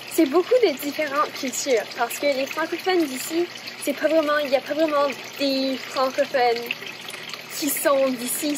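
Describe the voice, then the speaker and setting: A young woman answering interview questions casually, using lots of fillers. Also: high-pitched. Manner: fillers of "comme", chatty. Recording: noisy background.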